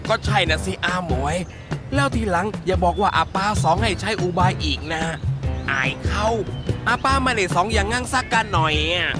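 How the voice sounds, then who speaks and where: A man voicing an excitable, indignant Thai-Chinese shopkeeper father in a cartoon, with an exaggerated Chinese accent in his Thai. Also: high voice, normal speed, music in the background. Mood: embarrassed scolding. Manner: exaggerated, exclamatory. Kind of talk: cartoon voice acting.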